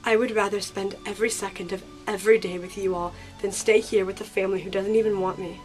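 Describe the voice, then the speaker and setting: A woman's voice: mid-range voice, fairly even intonation, fast talker, soft background music, natural voice, low-quality recording. A woman playing a heartfelt sixteen-year-old girl in an audio drama, emotional and sincere. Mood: resolute.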